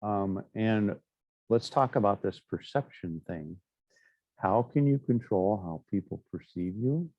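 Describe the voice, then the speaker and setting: A man's voice: on the low side, lively intonation, slow, no room sound, dull audio. A middle-aged man teaching a class in a chatty, anecdotal way, with frequent fillers and asides. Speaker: instructor. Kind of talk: classroom lecture.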